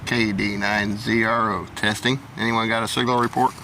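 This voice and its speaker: Low gravelly man making radio test calls. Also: level tone, normal speed, very noisy. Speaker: amateur radio operator and vlogger. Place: outdoors in a local park.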